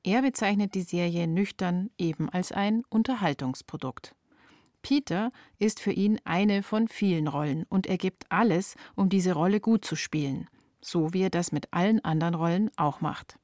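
A woman's voice: lowish voice, moderate pace, a little mellow, no room sound, studio quality. A woman delivering scripted, personal narration as the author of a radio feature.

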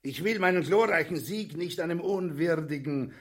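An actor playing a coarse, imperious Russian emperor in a grotesque radio comedy, pompous, stern and contemptuous. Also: male voice, a little high, normal speed, natural voice.

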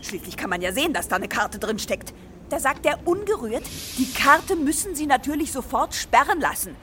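A woman's voice: high-pitched, very animated, moderate pace, sharp tone, faint music behind, heavily acted. An actress in a radio play playing an exasperated, complaining woman traveller stranded by a broken machine. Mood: exasperated, indignant. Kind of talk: naturalistic radio play dialogue.

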